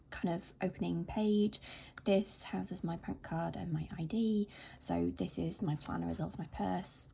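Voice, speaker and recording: A woman with a hoarse, croaky voice from being ill, chatting casually to camera about her planner with plenty of fillers. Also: on the low side, a little hiss or hum. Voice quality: croaky, whispery. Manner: chatty, relaxed, many fillers. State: hoarse from illness. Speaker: planner vlogger.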